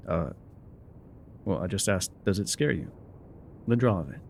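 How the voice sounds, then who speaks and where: A man's voice: deep voice, animated, moderate pace, gravelly, a little mellow, slight background noise. A man playing an enigmatic, wry guide through dangerous wilds in an intimate ASMR roleplay, musing, teasing and often trailing off. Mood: teasing, probing.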